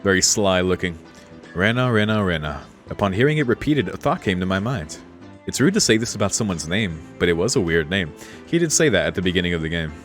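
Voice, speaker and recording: A man's voice: deep voice, animated, fast talker, soft background music. A man streaming a game, reading text aloud and adding casual, laid-back commentary. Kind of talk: casual let's play commentary and read-aloud.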